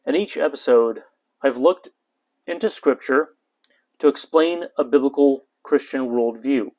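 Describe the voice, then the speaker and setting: A man in his twenties, born in Ukraine, hosting his own podcast and telling his life story in a measured, earnest monologue with light hesitations. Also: mid-range voice, a little mellow. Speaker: podcast host. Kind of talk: personal testimony podcast monologue.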